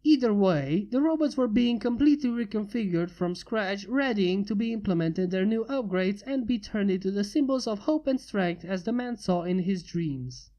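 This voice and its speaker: A woman reading a fan story aloud in plain, steady narration, lightly voicing the characters. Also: medium pitch, moderate pace, few pauses, muffled recording. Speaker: fanfic reader.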